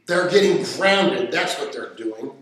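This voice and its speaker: A man acting a put-upon, wry father in a radio play. His voice is high for a man and very animated, heard in a strongly echoing room. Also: unhurried. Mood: annoyed. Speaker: actor in an amateur radio play. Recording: very echoey room.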